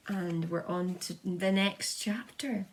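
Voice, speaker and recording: A woman's voice: medium pitch, lively intonation, extremely slow. A woman reading a children's storybook aloud as a schoolteacher, expressively, sometimes stumbling and repeating words.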